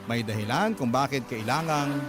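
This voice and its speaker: A man's voice: mid-range voice, moderate pace. A man narrating a first-person story dramatically, as a radio drama host. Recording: music playing behind the voice. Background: music.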